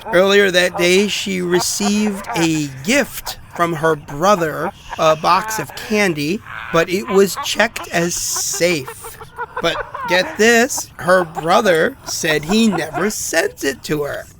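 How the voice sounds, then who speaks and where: Male actor as sardonic wounded ex-con, high gravelly voice, halting confiding delivery, audio drama. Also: expressive, a crowd in the background, exaggerated voice. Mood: intrigued, sardonic. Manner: halting, wry, muttering asides with chuckles. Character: bitter ex-convict man, sardonic, wounded, confiding.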